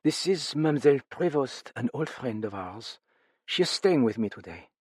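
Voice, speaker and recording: A man's voice: big pitch swings, darkish tone, husky, dry recording. Brittle, weary widow, pained and intense, played by a male reader, dry read. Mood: anguished. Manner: raised pitch, halting, pained. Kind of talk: audiobook character voice.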